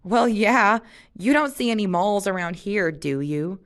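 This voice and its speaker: A woman's voice: mid-range voice, expressive, unhurried, pitched above the performer's own voice, close to an everyday voice. Woman voicing a breezy, bouncy, confident young girl. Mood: bored, flippant. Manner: bouncy, emphatic, rattles from topic to topic. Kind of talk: audiobook-style character reading.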